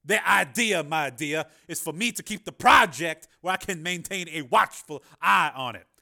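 A cool, manipulative politician, as the narrator plays him, speaking slowly and wryly with a quietly menacing calm. The voice sits a little above the reader's own and carries a bright edge. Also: male voice, fairly high pitch, vocal fry, pitched above the performer's own voice, exaggerated voice. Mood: calmly menacing. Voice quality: calm and wry.

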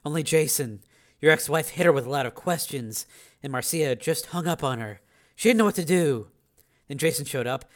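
Man reading as a serious woman, slightly high voice. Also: lightly acted. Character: serious, sympathetic woman relaying grim news.

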